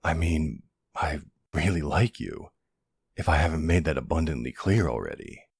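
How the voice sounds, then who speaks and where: Man acting a deep, gravelly, smitten mafia boss. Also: lively intonation, no room sound, clean studio sound, full character voice. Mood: earnest, hesitant. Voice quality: low, gravelly, hushed. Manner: tender, hesitant, unhurried, earnest. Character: soft-spoken, courteous, smitten male mafia boss. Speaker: audio roleplay voice actor. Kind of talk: intimate ASMR boyfriend roleplay.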